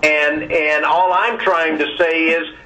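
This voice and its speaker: A man speaking as a board member in a conference call discussion, halting and repeating words, often tagging phrases with "okay". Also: on the high side, fairly even intonation, sharp tone. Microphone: telephone. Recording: distant conference call line.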